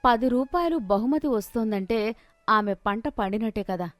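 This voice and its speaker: A woman's voice: fairly high pitch, lively intonation, moderate pace, no room sound. A woman storyteller reading a scripted moral tale.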